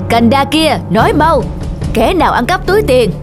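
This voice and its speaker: Cartoon folk tale character, high-pitched, over clear music. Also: female voice, expressive, moderate pace. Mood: stern. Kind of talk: animated folk tale dubbing.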